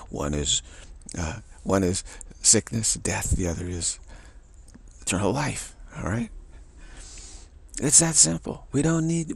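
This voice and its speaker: A man with a deep voice giving a rambling spiritual monologue, often repeating words and chuckling. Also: vocal fry, breathy edge.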